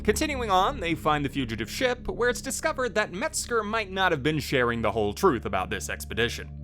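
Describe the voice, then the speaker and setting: A man's voice: fairly high pitch, big pitch swings, brisk. A man giving scripted narration as a video host. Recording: music playing behind the voice. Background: loud music.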